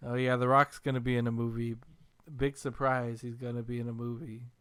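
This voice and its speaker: A young man hosting a casual podcast chat about video games, telling stories in an animated, self-deprecating way. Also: medium pitch, brisk, clean tone. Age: young adult. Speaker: podcast host.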